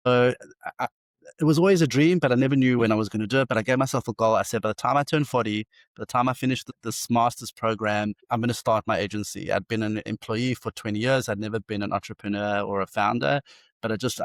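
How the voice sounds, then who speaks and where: A South African man in his forties speaks as an interview guest, and his voice sits low. He tells his stories eagerly, restarting phrases as he goes. The sound is dry and close, with no room around it. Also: no room sound. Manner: enthusiastic, fast storytelling with many restarts. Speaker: agency founder and CEO, guest. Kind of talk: casual interview talk.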